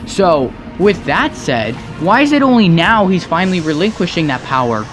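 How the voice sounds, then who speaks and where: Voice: medium pitch, very animated, moderate pace. A commentator picking apart game cutscenes in an argumentative, exclamatory comedic style, with music behind. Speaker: video commentator.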